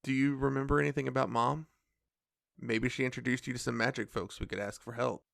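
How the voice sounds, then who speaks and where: A man playing a calm, protective police officer in an audio drama, measured and reassuring, with a creaky voice. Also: medium pitch, no room sound, studio quality. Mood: calm, helpful. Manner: measured, reassuring, matter-of-fact.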